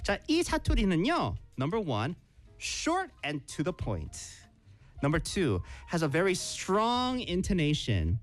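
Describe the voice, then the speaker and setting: 영어와 한국어를 오가며 활기차게 이야기하는 여자 라디오 진행자. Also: female voice, on the low side, very slow, faint music behind. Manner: switches between English and Korean, lively. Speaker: radio host. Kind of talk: bilingual radio chat.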